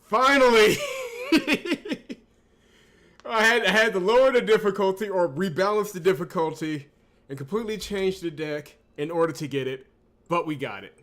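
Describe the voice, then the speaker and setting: A man's voice: high voice, lively intonation, normal speed, fairly bright tone. A man hosting a gaming video, commentating on his visual novel playthrough.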